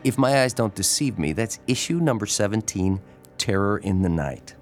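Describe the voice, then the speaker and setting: A man's voice: on the low side, lively intonation, normal speed, faint music behind, lightly acted. A man playing a friendly, easygoing young comic book fan in a radio drama, chatty and enthusiastic.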